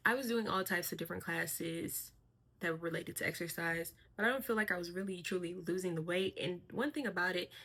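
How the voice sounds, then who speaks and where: A young woman sharing her life in a casual vlog, speaking softly and reflectively with a fairly even, monotone delivery. Her voice sits a little low in pitch but has a bright, clear edge. She pauses on fillers now and then as she thinks. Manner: soft-spoken, reflective, with frequent fillers and light laughs. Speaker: vlogger.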